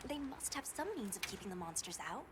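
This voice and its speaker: A woman's voice: mid-range voice, animated, fast talker, background noise, natural voice. An actress playing an earnest, practical young female expedition companion in a video game. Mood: puzzled, earnest. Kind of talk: video game character dialogue. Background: game music.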